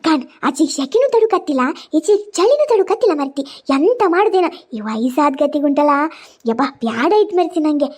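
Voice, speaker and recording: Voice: sits high, lively intonation, a little fast, a little mellow. A performer voicing a grumbling, muddled elderly mother in a cartoon comedy, with a Kundapura Kannada accent and wailing, repetitive exclamations. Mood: weary, grumbling. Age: older.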